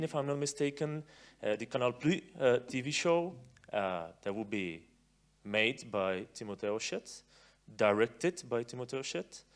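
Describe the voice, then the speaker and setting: A man in his thirties hosting on stage, introducing informally in halting, repetitive phrases. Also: mid-range voice. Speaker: festival host. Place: cinema stage, indoors. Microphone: handheld microphone.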